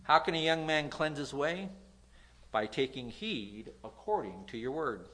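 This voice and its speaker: A man with a nasal voice preaching a sermon, reading scripture aloud and swinging between loud emphasis and quiet asides, with an occasional stutter. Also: on the high side, lively intonation, unhurried, rough recording. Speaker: pastor.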